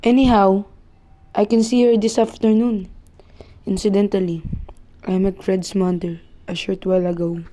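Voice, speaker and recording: A woman's voice: medium pitch, level tone, normal speed, long pauses, mellow, natural voice. A woman playing a casual, matter-of-fact son in an amateur radio play.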